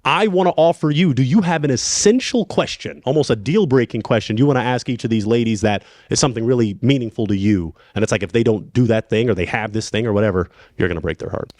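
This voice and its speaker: A man hosting a dating game show outdoors, upbeat and animated as he guides contestants. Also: mid-range voice, no echo, clean studio sound. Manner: upbeat, animated, quick-talking. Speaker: host.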